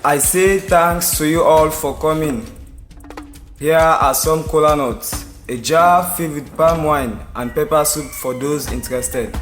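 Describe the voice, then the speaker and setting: A man's voice: fairly high pitch, a little slow, a bit bright, faint music behind. A man with a Sierra Leonean accent playing a scheming village noble, speaking formally and persuasively in an audio drama. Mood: courteous, welcoming. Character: scheming male village noble leading rival candidates.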